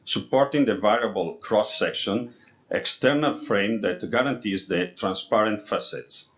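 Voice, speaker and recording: A man speaking English as a second language, giving a formal scripted presentation as an architect, haltingly, stumbling over and repeating words. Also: fairly low pitch, a bit bright.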